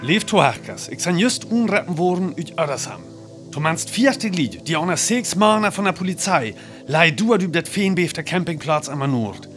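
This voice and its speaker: A man's voice: on the high side, very animated, moderate pace, a bit bright, faint music behind. A man acting a radio newsreader in a drama, announcing a grave disaster formally and urgently with a North Frisian accent. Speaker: radio news announcer in a radio play. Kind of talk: scripted news bulletin in a radio play.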